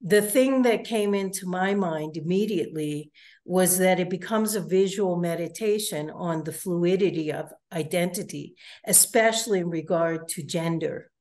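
A woman interviewer asking long, measured questions over a video call from home, often saying "you know". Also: on the low side, darkish tone. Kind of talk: conversational interview.

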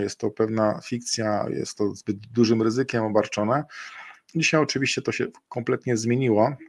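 A middle-aged man presents a webinar on a video call, in a fairly low and quite flat voice. His delivery is halting and full of fillers and restarts. The sound is dry and close. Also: no echo. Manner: hesitant, many fillers and self-corrections. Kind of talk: screen-share webinar presentation. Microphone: webcam and computer mic on a video call.

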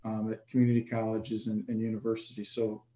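A man in his forties from Detroit speaks on a webcam video call from his office, his voice mellow and fairly flat. He is friendly and often repeats words as he starts his sentences. The room around him is audibly echoey. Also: lowish voice, a little fast. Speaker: athletic director. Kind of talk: personal story on a video call. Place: office, indoors.

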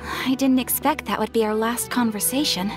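A gentle young lady of noble birth from a video game, acted by a woman. Her voice is high and politely careful, with music behind it. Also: fairly even intonation, moderate pace, lightly acted. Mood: wistful.

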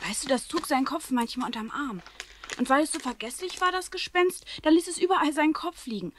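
A woman's voice: high-pitched, animated, fairly quick. A woman playing an imaginative, chatty young schoolgirl in a children's radio play, with faint hiss behind. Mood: amused, chatty.

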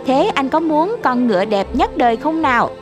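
A woman's voice: sits high, big pitch swings, moderate pace, music in the background. A woman voicing a sly, bossy talking cat in a cartoon fairy tale, with a commanding, teasing manner.

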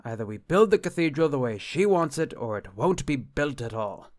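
Man acting dejected bishop, formal, exasperated, earnest, mid-pitched, radio drama, dry recording. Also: big pitch swings, normal speed, no room sound, natural voice.

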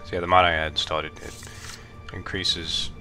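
Very deep, flat-voiced man with a cold. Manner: relaxed, halting, many ums. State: hoarse from a cold. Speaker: let's play gamer. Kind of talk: casual gameplay commentary. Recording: game music playing behind.

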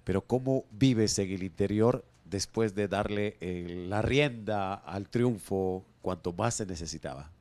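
A man working as a reporter, congratulating a player and asking a measured question. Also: fairly low pitch, a little mellow.

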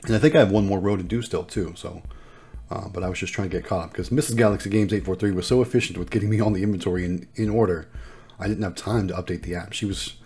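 A man's voice: deep voice, steady tone, fast, a little mellow, slightly rough. A man narrating a casual vlog in an upbeat, appreciative way, explaining things as he goes. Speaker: vlogger and vending machine operator.

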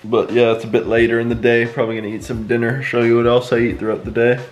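A young man in his twenties vlogging casually at home, filming himself at arm's length in a relaxed, offhand way. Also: mid-range voice, quick pace, soft background music. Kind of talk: casual vlog chat. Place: home and outdoors, sunny villa. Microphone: camera held at arm's length.

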